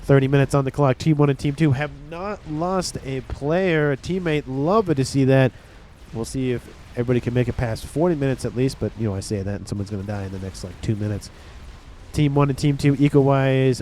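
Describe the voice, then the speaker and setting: A man's voice: medium pitch, brisk, slight background noise. Congested man casting a strategy game. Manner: relaxed, rambling, talks to viewers. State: congested, nasal from a cold. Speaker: game caster. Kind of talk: casual play-by-play commentary.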